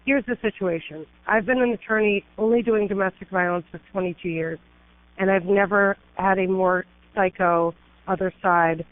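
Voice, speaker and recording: A woman's voice: medium pitch, steady tone, normal speed, no echo. A middle-aged woman attorney calling an emergency line, urgent and pleading, piling up emphatic lists of complaints. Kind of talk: emergency phone call. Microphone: telephone. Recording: tinny phone line.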